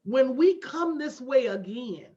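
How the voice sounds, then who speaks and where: High-voiced woman, emphatic motivational coach. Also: lively intonation, slow pace, mellow. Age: late 40s. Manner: emphatic, preacher-like repetition with direct address and folksy slang. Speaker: motivational business coach hosting a livestream. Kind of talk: motivational coaching talk to a live online audience. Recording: dull lo-fi livestream audio.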